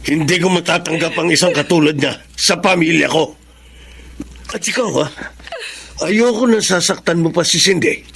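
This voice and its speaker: A man's voice: on the high side, moderate pace, gravelly, a bit bright, slightly rough, exaggerated voice. A man playing a harsh, stern father in a radio drama, accusing and unyielding. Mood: stern, angry.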